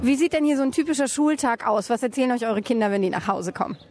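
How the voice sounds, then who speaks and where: A woman's voice: fairly high pitch, expressive, fast, over loud music. A woman reporter who interviews parents and children on location and asks friendly questions.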